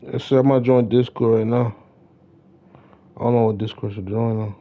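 A young American man chats casually as he streams a game. His voice sits at a middling pitch and his talk is slangy, looping back on the same phrases. The mic sounds slightly dull and lo-fi. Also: fairly even intonation, normal speed. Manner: slangy, repetitive greetings to viewers. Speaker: livestreamer. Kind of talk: casual gaming livestream chat.

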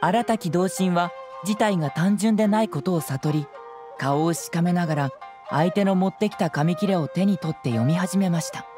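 A woman's voice: on the low side, moderate pace, music in the background, natural voice. A woman voicing a clever, playful young male detective in a video game, presenting and narrating in a polite theatrical way. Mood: storytelling.

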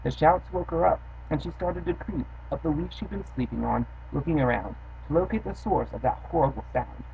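A man's voice: a little high, a little fast, vocal fry, few pauses. A young man who hosts a storytime channel, chatting casually and then reading a rhyming children's poem aloud in his bedroom. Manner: casual, offhand chat with fillers, then steady rhythmic reading. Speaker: host reading children's poems. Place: bedroom, indoors. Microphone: built-in webcam mic. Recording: dull lo-fi webcam sound with faint hiss.

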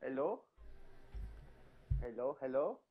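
A man's voice: mid-range voice, expressive, husky, voice pushed down, exaggerated voice. Man putting on timid foreign boyfriend, muffled. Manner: halting, broken English, repetitive. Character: timid apologetic foreign boyfriend with poor English. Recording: dull, muffled phone sound.

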